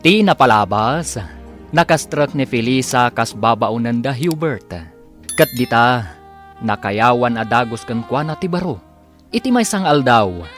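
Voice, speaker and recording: A man narrating an Ilocano radio drama as its announcer, theatrical and scripted, drawing out the titles. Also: mid-range voice. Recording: music bed under the voice.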